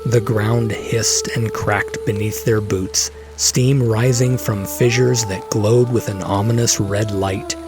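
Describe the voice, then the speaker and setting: Guy narrating dramatic fantasy story, slow delivery, music bed behind. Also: lowish voice, fairly even intonation.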